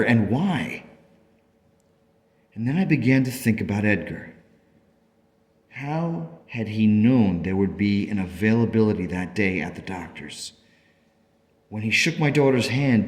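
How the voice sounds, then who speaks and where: An actor playing an earnest, grateful father in a radio play, telling his story emphatically and emotionally. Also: lowish voice. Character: earnest, grateful father of a young daughter, searching for answers. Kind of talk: dramatic first-person storytelling. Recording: long reverberant hall echo.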